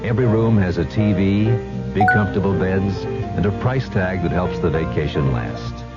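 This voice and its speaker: A man's voice: deep voice, moderate pace, over loud music. A man reading a folksy vintage radio commercial as announcer, on a muffled recording. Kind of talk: folksy radio advertisement read.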